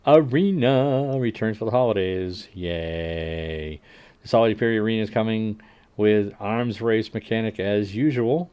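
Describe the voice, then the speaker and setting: A man hosting a livestream, casually reading game update notes aloud and adding wry asides that trail off quietly. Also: fairly low pitch, unhurried, clear, resonant tone. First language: English. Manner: frequent fillers and restarts while working out numbers. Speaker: livestream host.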